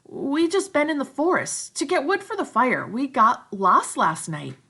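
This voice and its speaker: Sheepish twin boys, read by a woman, evasive, high. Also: wildly swooping pitch, unhurried, sharp tone, heavily acted. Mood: awkward. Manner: awkward, evasive. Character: awkward embarrassed twin boys.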